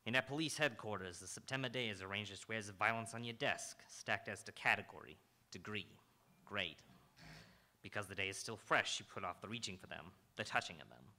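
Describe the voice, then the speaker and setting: A man's voice: on the low side, a little fast, pushed and bright. A man playing a hard-boiled, world-weary New York police detective in old-time radio noir style, terse and brooding. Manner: terse, brooding, poetic phrasing. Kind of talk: old-time radio noir acting, second-person narration.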